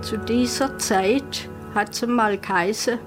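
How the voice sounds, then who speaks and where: A woman's voice: a little high, takes it slowly, music in the background. An older woman with a southwest German accent recalling the past in an interview. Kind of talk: interview recollection.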